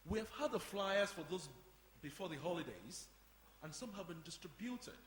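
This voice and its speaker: A man's voice: high voice, lively intonation, moderate pace. A man playing a fussy, defensive community centre manager with a formal, precise way of speaking in a stage play. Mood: businesslike. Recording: noisy echoey hall, live performance.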